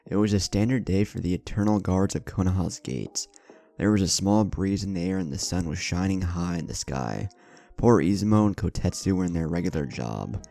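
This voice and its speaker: Very deep, gravelly male story narrator. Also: moderate pace, mellow. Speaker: fan fiction narrator. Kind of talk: scripted story narration with character dialogue.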